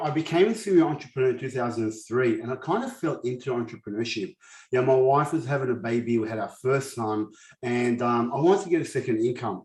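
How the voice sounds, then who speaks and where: A man's voice: mid-range voice, darkish tone, echoey room, poor audio. A man in his forties with a lisp, whose first language is not English, giving a personal, earnest motivational presentation at a summit. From grew up in a war-torn country, raised in Australia. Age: 40s. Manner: earnest, personal storytelling with frequent restarts. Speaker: summit speaker.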